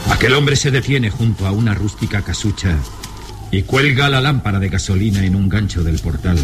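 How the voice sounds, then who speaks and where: Casting: male narrator for radio drama, fairly deep, scripted dramatic delivery, music clearly behind him. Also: expressive, normal speed.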